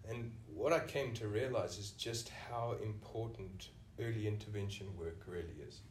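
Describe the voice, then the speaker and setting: A man's voice: lowish voice, normal speed, a little hiss or hum. South African man, echoey distant sound. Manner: thoughtful, often restarts with "you know". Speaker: senior clinician, podcast guest. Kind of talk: reflective interview answers. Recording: echoey room, voice off-mic.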